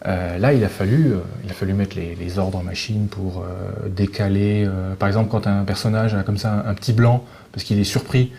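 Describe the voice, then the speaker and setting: A man giving a casual interview as a guest, speaking in a hesitant, halting way and often restarting his phrases. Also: lowish voice, steady tone, mellow. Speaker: video game translator, interview guest. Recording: clearly noisy background ambience.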